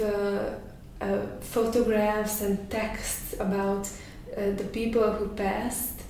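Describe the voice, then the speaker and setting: A woman speaks in a flat, even tone, thinking aloud and filling her pauses with soft fillers. Her voice rings through a very echoey room, heard from some distance off the mic. Faint noise hangs underneath. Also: medium pitch. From Romania. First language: Hungarian. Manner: hesitant, thoughtful, pauses with many fillers. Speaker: artist in residence. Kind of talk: reflective interview answers.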